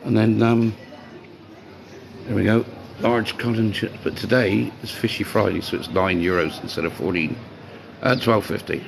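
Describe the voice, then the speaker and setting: A man chatting casually as he vlogs his day as a bar worker, rambling and greeting people as he goes, his voice going hoarse. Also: fairly low pitch, fairly even intonation, normal speed, a crowd in the background. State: voice getting hoarse. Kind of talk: casual walk-and-talk vlog. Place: outdoors on streets by a seafront. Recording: street noise behind the voice.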